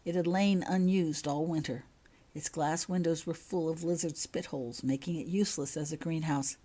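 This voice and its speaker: A woman's voice: deep voice, steady tone, moderate pace, dark tone. A woman reading a story aloud as scripted audiobook narration, as the author of the fiction.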